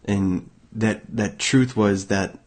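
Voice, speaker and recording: A young man from Massachusetts hosting a motivational self-help show, hoarse and raspy from illness, talking casually with many fillers and restarts. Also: lowish voice, fairly even intonation, slow pace, darkish tone. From Massachusetts, USA. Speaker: podcast host.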